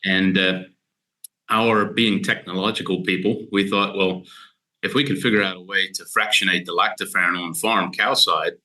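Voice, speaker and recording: American guy in his 50s, really low voice but bright, chatty science explainer. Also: poor audio. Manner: steady, measured, explains in detail with frequent "uh" pauses. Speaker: guest dairy scientist. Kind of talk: casual explanatory interview talk.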